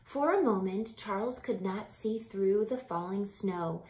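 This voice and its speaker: A woman in her thirties reads a children's picture book aloud like a teacher, expressively, with drawn-out phrasing and asides to young listeners. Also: mid-range voice. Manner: animated, deliberate, drawn-out phrasing with hushed whispers. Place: small home room by a window. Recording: roomy echo from home camera mic.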